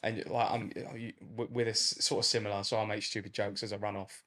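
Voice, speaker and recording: A man's voice: fairly low pitch, level tone, fairly quick, a bit bright. A man hosting a podcast, chatting in a jokey, extroverted way. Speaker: podcast host. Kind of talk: casual podcast chat.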